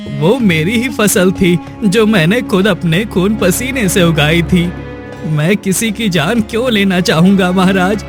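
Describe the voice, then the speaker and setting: A man acts out an honest, hardworking farmer in a cartoon moral tale. His voice sits fairly high and he speaks slowly and earnestly, with music clearly behind him. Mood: pleading. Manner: earnest, pleading when accused. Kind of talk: dramatised moral story for cartoon.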